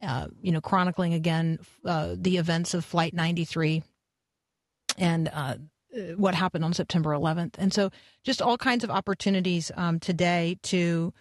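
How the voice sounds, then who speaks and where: A woman radio host with a fairly low voice talks through a serious segment in an earnest, deliberate way. Her delivery is that of live, conversational commentary. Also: steady tone, dry recording, clean studio sound.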